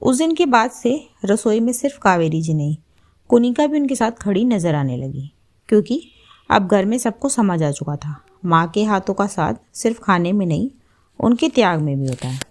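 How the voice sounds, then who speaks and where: A woman's voice: mid-range voice, lively intonation, moderate pace, a little mellow. Female storyteller for scripted narration, mid-range voice.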